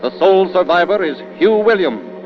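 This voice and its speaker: A man's voice: high-pitched, big pitch swings, fairly quick. A man giving grave, theatrical scripted narration in 1930s radio style, on a dull old recording with music behind.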